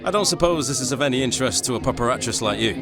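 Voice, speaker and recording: An actor playing an earnest, good-humoured young working-class political songwriter, in a radio play. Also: male voice, medium pitch, lively intonation, racing, over loud music, natural voice. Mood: reflective. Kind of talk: radio play acting and narration.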